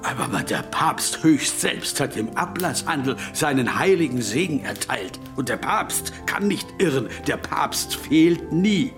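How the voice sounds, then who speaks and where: An actor playing an anguished, guilt-ridden, drunken monk in a historical radio drama, pleading and fretful. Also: male voice, a little high, animated, measured pace, few pauses, over music. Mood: anxious, distressed.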